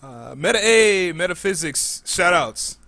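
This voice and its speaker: Higher-voiced man hosting a casual podcast. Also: lively intonation, a little slow, bright, forceful tone, dry recording. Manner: informal, slangy. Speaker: podcast host.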